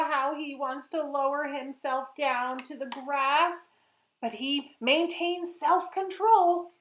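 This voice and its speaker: A woman with a fairly high voice reads a children's story at an unhurried pace. She is expressive and speaks straight to her young listeners. The recording has a clear roomy echo. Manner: expressive, addresses young listeners directly with asides. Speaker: children's author reading her picture book. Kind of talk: animated children's story read-aloud.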